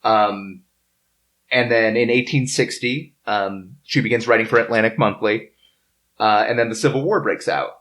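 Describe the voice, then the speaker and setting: A man hosting a podcast, telling history from notes in a casual, halting way among the group. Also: lowish voice, a bit bright, rough recording. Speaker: podcast host.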